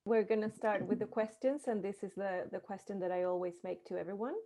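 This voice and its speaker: A woman's voice: medium pitch, fairly even intonation, fairly quick, warm tone. A woman interpreting an interviewer's questions into English, relaying them plainly with small restarts. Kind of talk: consecutive interpreting.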